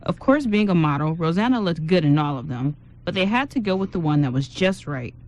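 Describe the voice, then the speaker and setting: A woman's voice: on the low side, lively intonation, fairly quick, darkish tone. A woman reading scripted narration as the storyteller of an amateur radio drama.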